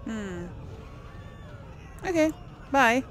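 A woman's voice: on the high side, very animated, extremely slow, a bit bright, faint music behind. A woman streaming a role-playing game, thinking aloud in casual, chatty commentary. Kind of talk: casual let's play commentary.

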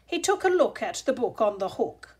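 Female storybook reader: high-pitched, lively swooping intonation, deliberate sing-song rhythm. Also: husky. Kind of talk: expressive children's picture book read-aloud.

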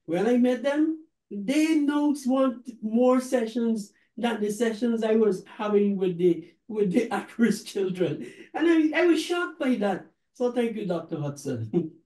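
A middle-aged man with a Guyanese accent hosting a talk show in deliberate, halting phrases from an office. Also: high-pitched, animated, warm tone. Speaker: host, reverend. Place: book-lined office. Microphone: video call. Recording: roomy, echoey sound.

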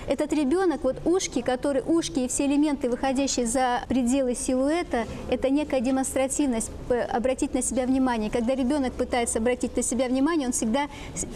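A middle-aged woman explaining things as an expert guest on a talk show, in a halting way that repeats phrases. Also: high-pitched, warm tone, a little hiss or hum. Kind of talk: explaining children's drawings to parents. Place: TV studio with seated guests.

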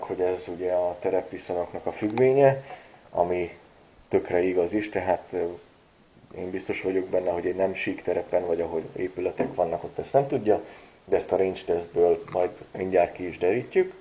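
Low-voiced man reviewing a gadget casually. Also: rough recording. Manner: relaxed, chatty, frequent hesitation fillers. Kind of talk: casual product review vlog.

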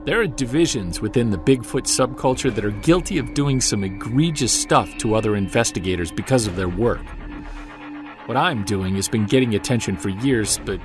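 An actor playing a troubled, guilt-ridden husband and Bigfoot investigator in an audio drama, earnest and confessional. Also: male voice, lowish voice, normal speed, over music, lightly acted. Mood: brooding, angry. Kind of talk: audio drama monologue and dialogue.

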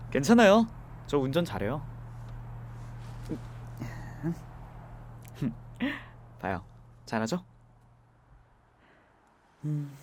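Male actor as a young driver, gentle and reassuring, light voice with a lot of melody. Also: fairly high pitch, a little hiss or hum, exaggerated voice. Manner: soft, gentle, comforting. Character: young man driving, kind and soft-spoken. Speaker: voice actor in an audio drama. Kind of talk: ASMR audio drama acting.

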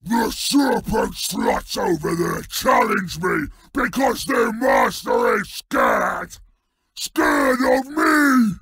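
A huge, brutish troll king from a fantasy game, roars his boasts and threats. The voice is thick with gravel and swings wildly in pitch. He drags out his blunt, broken sentences very slowly, always sounding hungry for a fight or a meal. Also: wildly swooping pitch, bright, forceful tone, shouting, full character voice. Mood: boastful, menacing. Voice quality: gravelly, growling. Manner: bellowing in broken, blunt speech. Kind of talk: fantasy video game villain voice lines.

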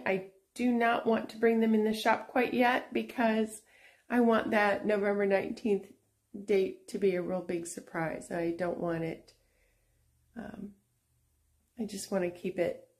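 Slow, chatty woman vlogger. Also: mid-range voice, darkish tone. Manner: chatty, unhurried, with frequent fillers and restarts. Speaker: antique shop owner and channel host. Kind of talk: casual vlog walkthrough. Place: indoor antique shop booth.

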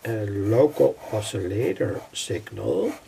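An older man giving an unscripted technical tutorial in a halting, repetitive way, piecing phrases together. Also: fairly low pitch, huge pitch swings, mellow. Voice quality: husky, gravelly. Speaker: electronics hobbyist presenter. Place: indoors at a worktable.

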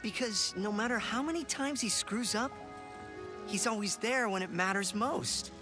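A man's voice: high voice, normal speed, music in the background, lightly acted. A man playing a loyal young adventurer hero in a video game, speaking earnestly and sincerely. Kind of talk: animated video game acting.

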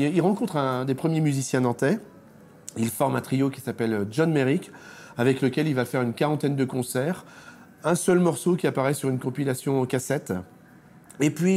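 A man in his fifties from France presenting an exhibition to camera in an informal, explanatory, slightly halting way. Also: a little high, darkish tone, a little hiss or hum. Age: 50s. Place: indoor exhibition, reconstructed bedroom.

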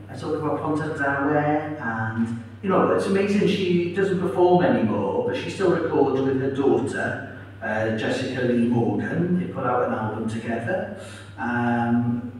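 A Welsh man talks chattily on stage, telling stories with asides. He sounds far from the mic, in a big reverberant hall with faint noise behind him. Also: fairly high pitch. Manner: chatty, warm, storytelling with asides. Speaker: interviewed author and radio presenter. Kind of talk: live festival interview. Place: town hall at a live event.

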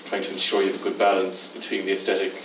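A man's voice: low-pitched, completely flat delivery, rapid-fire, noisy background. An older man moderating a poetry panel, stumbling over and restarting his questions. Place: stage in a dim theatre hall. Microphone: far camera. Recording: echoey hall from far off.

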